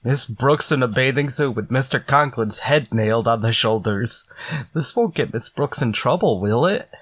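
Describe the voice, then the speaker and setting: Guy doing dopey easygoing high school kid, radio sitcom sidekick, mid pitched. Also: moderate pace, a bit bright, breathy edge, no echo. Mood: amused. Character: easygoing, slightly dim teenage schoolboy sidekick. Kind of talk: old-time radio sitcom acting.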